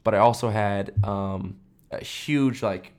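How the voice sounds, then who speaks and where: A man's voice: fairly low pitch, takes it slowly, darkish tone. A young American man co-hosting a podcast, reminiscing in a casual, enthusiastic and slangy way. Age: young adult. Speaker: podcast co-host.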